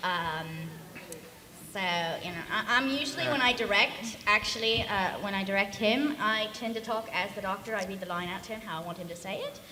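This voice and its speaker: A woman's voice: medium pitch, normal speed. A woman chatting informally as a panelist and voice-acting director at a live fan Q&A. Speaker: panelist, voice actor and director of a fan radio play. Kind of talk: convention panel Q&A, casual chat. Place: large indoor convention hall. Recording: echoey convention hall with audience noise. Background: audience crowd.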